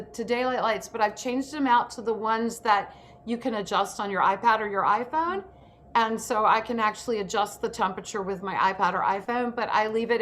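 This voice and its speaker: A woman's voice: medium pitch, a bit bright. Woman, workshop host, informal instruction, enthusiastic, video call, faint background noise. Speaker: host and acting self-tape instructor. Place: indoor room on a video call.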